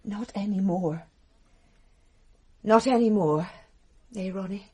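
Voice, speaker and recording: An actress playing a titled English wife confessing to murder in a radio drama, bitterly ironic and theatrical, with a British accent. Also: female voice, mid-range voice, slow, darkish tone, slightly rough. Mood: bitter, wistful.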